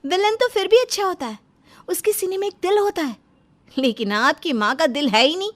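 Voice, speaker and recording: A woman voicing an exasperated, sharp-tongued young working wife who speaks emphatically in a dramatized story. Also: very high-pitched, lively intonation, moderate pace. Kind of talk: dramatized Hindi moral story.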